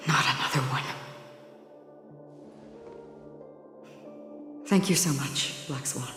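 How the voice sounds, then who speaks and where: An actress playing a calm, earnest, dignified woman in a video game, speaking in a measured, courteous way. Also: extremely low pitch, roomy sound, faint music behind. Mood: courteous, grave. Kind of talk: video game story dialogue.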